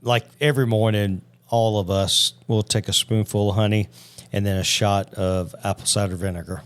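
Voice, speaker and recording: Folksy podcast guy, kinda low voice, super relaxed, keeps saying man and dude. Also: moderate pace, smooth. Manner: laid-back, folksy, says "man" and "dude". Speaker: podcast co-host. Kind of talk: casual chat.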